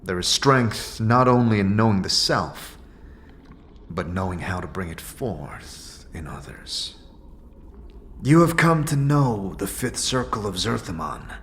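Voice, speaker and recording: A man's voice: low voice, lively intonation, takes it slowly, pauses often, a bit bright, a little hiss or hum, full character voice. A man playing a grave, stoic otherworldly warrior monk in a fantasy audio drama, speaking solemnly in formal, archaic phrasing. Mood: solemn, teaching.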